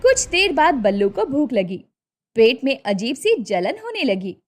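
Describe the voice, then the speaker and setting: Casting: woman storybook narrator, high and very lively, expressive. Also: measured pace.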